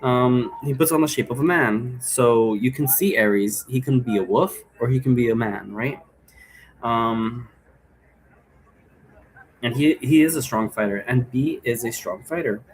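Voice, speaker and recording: A man's voice: mid-range voice, unhurried, rough recording. A man with a mid-range voice teaches in a loose, unscripted way. He rambles through his explanation and checks that the listener follows. Some ambient noise sits behind his voice. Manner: rambling, checks understanding with "right?". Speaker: teacher explaining occult role-play rules. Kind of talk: casual unscripted explanatory talk.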